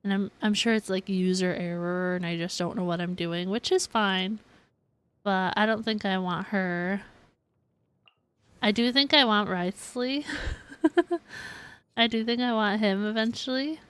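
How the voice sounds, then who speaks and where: A woman's voice: medium pitch, fairly even intonation, measured pace, long pauses, fairly bright tone, smooth. A woman streaming a video game, chatting casually and giggly with her viewers. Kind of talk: casual livestream chat with viewers.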